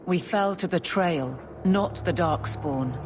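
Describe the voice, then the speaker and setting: A woman's voice: on the low side, slow, music in the background. An actress playing a steadfast, blunt woman soldier in a fantasy video game, speaking firmly and formally. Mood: stern, guarded. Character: stern, steadfast woman warrior, loyal soldier.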